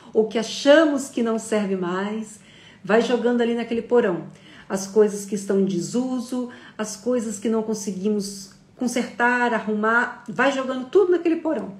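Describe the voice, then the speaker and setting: A woman's voice: a little high, a little mellow. A woman giving a conversational live psychology lecture, explaining patiently and using many fillers. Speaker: psychology teacher. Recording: roomy echo.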